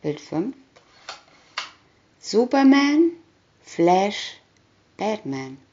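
A woman's voice: medium pitch, big pitch swings, very slow, mellow, dull audio. A woman doing a scripted reading of a children's picture booklet, announcing short captions one by one. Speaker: reader.

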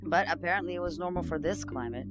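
A low-pitched, weary woman played by an actress confides her hardships like a conversation, in an audio-drama monologue. She sounds earnest and down on her luck, with guitar music clearly behind her. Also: fast talker, close to an everyday voice. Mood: reflective, rueful. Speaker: narrator-protagonist of an audio drama. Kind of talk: first-person dramatic monologue. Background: plucked guitar music.

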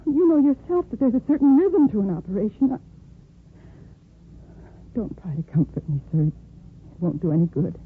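An actress playing an exhausted, guilt-ridden young surgical nurse in a 1950s radio soap, halting and earnest. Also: female voice, mid-range voice, expressive, slightly rough. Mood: anguished, guilty. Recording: muffled, noisy 1950s radio recording.

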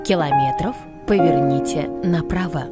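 A woman's voice: sits low, moderate pace, close to an everyday voice. A woman voicing an impassive satellite navigation device in a cartoon, giving formulaic announcements. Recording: loud music bed behind.

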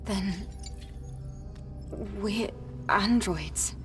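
An actress playing a serious, pensive young female android soldier in a video game, speaking soberly and deliberately. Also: mid-range voice, distant mic, over music. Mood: troubled resolve. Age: young adult. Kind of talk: video game cutscene acting.

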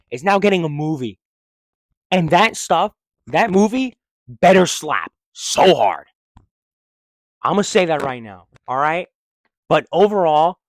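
Young male vlogger, slangy and rambling, lively pitch, fairly high voice, dry close handheld mic. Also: dry recording. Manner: rambling, restarts sentences, slangy and excitable. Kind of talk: casual opinion vlog. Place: living room, seated in armchair. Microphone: handheld mic held close.

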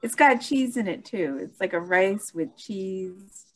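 A woman chatting on a video call, talking hesitantly with pauses. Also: medium pitch, animated, soft background music. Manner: hesitant, with fillers. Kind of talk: casual video call chat.

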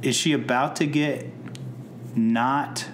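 A man co-hosts a laid-back podcast, working through episode notes at an unhurried pace. His humor is dry and deadpan. A faint hiss of noise sits under the voice. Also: medium pitch, vocal fry. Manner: dry, deadpan jokes, reads plot notes aloud. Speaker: podcast co-host. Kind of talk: casual chat recapping a TV episode.

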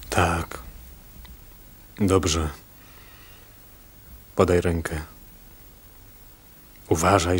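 An actor playing a stern, weary fisherman on the run in a radio drama, speaking in terse, hushed commands. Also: low voice, slightly rough, heavily acted. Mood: cautious. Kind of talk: Polish radio drama acting. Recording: radio drama with music behind.

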